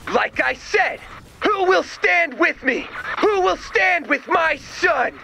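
A zealous revolutionary leader in a video game. He is theatrical and grandiose, very high for a man, gritty and animated, with faint music behind. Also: slow pace, vocal fry, exaggerated voice. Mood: defiant rallying. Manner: grandiose rallying speeches.

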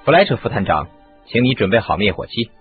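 An actor playing a clever, self-assured sleuth in a radio mystery, explaining with confidence. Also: male voice, on the low side, sing-song, fast. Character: clever, self-assured male sleuth making his case. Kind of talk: Chinese radio mystery drama acting. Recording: muffled lo-fi radio drama, music behind.

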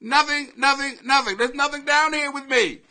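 Man, 40s, live webcam host, basement at home, bright ringing voice, crude loose riffing. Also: extremely high pitch, normal speed. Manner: loose, swearing, loud bursts and laughing asides. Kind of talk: casual profane live commentary. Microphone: webcam at desk.

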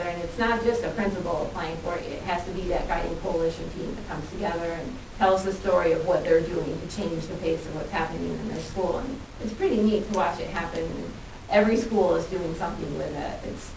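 A woman with a slightly low voice presents as a school administrator, explaining things informally. She is recorded at a distance in an echoey room, with clear hiss behind her. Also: brisk, few pauses. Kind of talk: spoken presentation at a board meeting.

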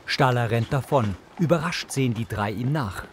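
A man's voice: medium pitch, lively intonation, moderate pace, slight background noise. A man narrating scenes in a neutral, descriptive audio-description style. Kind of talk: scripted narration.

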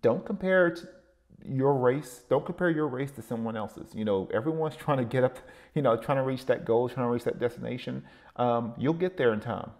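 A man's voice: medium pitch, moderate pace, a little mellow. A man hosting a podcast, giving a solo motivational talk in a rambling way, with many fillers and repeated words. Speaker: podcast host.

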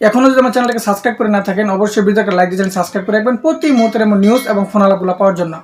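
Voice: mid-range voice, rapid-fire, a little hiss or hum. A channel narrator reading a scripted request to subscribe.

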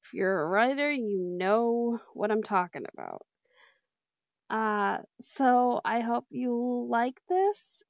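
A woman's voice: on the high side, no echo, dull audio. A woman who creates audio roleplays, chatting casually to her listeners. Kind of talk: casual intro chat to listeners.

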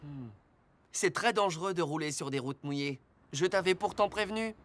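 A woman voicing a calm, earnest rescue car that patiently teaches safety in a French children's cartoon. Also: fairly low pitch, normal speed, lightly acted. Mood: stern. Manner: clear, patient, admonishing. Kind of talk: instructive French cartoon dubbing. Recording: loud music under the voice.

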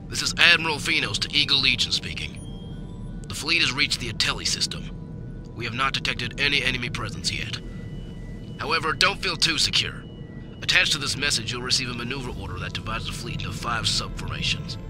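A man plays a resolute admiral of a space fleet. He speaks formally and with command at a middling pitch, as in a science fiction audio drama, with clear music behind him. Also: fairly quick, close to an everyday voice. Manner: formal, commanding military delivery.

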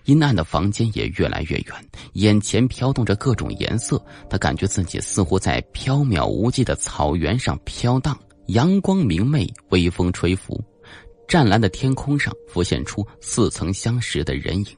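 Male audiobook narrator, deep and dramatic, lively intonation, music underneath. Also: normal speed, gravelly. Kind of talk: scripted dramatic narration of a suspense novel.

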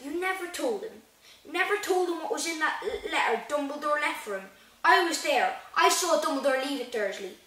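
Storybook giant, played by a woman: huge, gruff, blunt man given to booming outbursts, in an echoey room. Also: expressive, normal speed, recorded from a distance, lightly acted. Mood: angry. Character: huge gruff male giant, blunt, indignant, kindly to boy.

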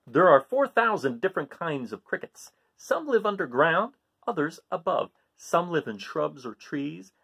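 Animated middle-aged man reading a picture book. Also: a little high, vocal fry, breathy edge. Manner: theatrical, with dramatic pauses and hushed phrases. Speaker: read-aloud storyteller. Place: small living room at home.

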